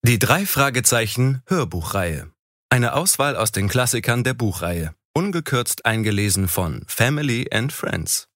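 A man's voice: medium pitch, sing-song, unhurried, a bit bright. A man hosting, reading a scripted intro and asking interview questions. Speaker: interviewer, host.

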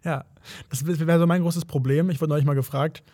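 A man's voice: fairly high pitch, steady tone, a little fast, warm tone, dry recording, studio quality. A man co-hosting a casual chat, chatty and playful, laughing often.

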